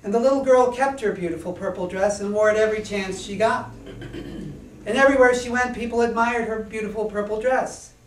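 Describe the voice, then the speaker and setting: A man tells a whimsical children's story on a small stage. The delivery is deliberate and rhythmic, with the sound echoey and somewhat distant from the microphone. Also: sits high, a little hiss or hum. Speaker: author reading own story. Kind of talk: children's storytelling to a live audience. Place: small indoor stage before an audience.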